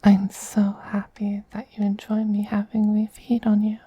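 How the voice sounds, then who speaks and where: A man playing a soothing, possessive femboy vampire queen in a soft, breathy whispered ASMR roleplay, slow and tender. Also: high voice, completely flat delivery, dry recording. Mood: tender, gently teasing. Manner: slow, tender, coaxing endearments.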